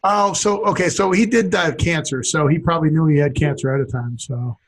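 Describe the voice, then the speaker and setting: A male podcast co-host with a gravelly, husky voice, joking and rambling in casual chat. He sits a bit higher in pitch and keeps a wry tone. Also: a little fast, poor audio.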